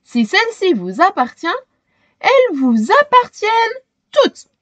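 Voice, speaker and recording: A woman performs a young, determined storybook girl. The voice is high and very animated, with breathless, earnest exclamations. It is recorded close and dry. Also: a little slow, no echo, full character voice. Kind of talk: children's storybook character voice.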